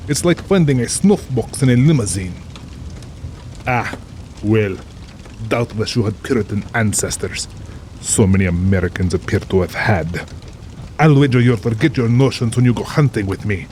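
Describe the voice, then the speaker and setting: A man's voice: mid-range voice, a little mellow, slight background noise, natural voice. Man playing a suave, pompous aristocratic general, rain behind. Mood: droll, condescending. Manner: pompous, suave, amused, formal diction. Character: urbane, cold-blooded older aristocratic general, a big-game hunter. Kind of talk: dramatized audiobook acting.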